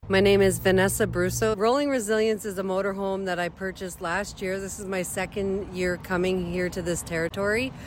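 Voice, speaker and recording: A woman's voice: medium pitch, steady tone, fairly quick, few pauses, a little hiss or hum. A woman from Northern Ontario, an advocate speaking earnestly and plainly in an outdoor interview, with fillers here and there. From Northern Ontario, Canada. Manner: heartfelt, plain-spoken, with frequent fillers. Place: outdoors beside a street.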